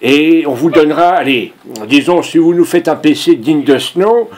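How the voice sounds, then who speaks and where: A man's voice: on the high side, moderate pace, background noise, lightly acted. A man voicing a pushy, rambling, naive old grandfather in a prank phone call. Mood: pleading, insistent. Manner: insistent, rambling, repeats himself.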